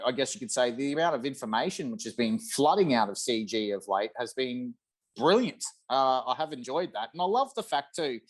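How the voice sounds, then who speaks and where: A man in his thirties chatting casually and emphatically about a video game on a video call, with lots of enthusiasm. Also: medium pitch. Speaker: podcast guest. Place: room at home, on a video call.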